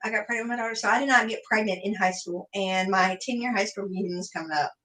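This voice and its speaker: A woman's voice: mid-range voice, fairly bright tone, poor audio. Easygoing woman in her late twenties. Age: 20s. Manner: easygoing, giggly, asks quick questions. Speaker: podcast co-host. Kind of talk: casual live Q&A chat.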